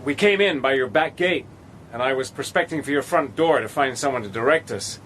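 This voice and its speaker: An actor playing a bluff adventurer who puts on a casual, drawling manner and also narrates the story. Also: male voice, mid-range voice, fairly quick, a little hiss or hum, close to an everyday voice. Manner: drawling, casual bluster in dialogue. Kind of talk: radio drama narration and dialogue. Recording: loud music score behind voice.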